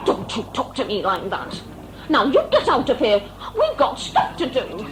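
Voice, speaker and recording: A woman's voice: on the high side, huge pitch swings, moderate pace, over a noisy crowd, rough recording. A woman playing a feisty, scolding old grandmother in an audio drama, brassy and colloquial. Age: older.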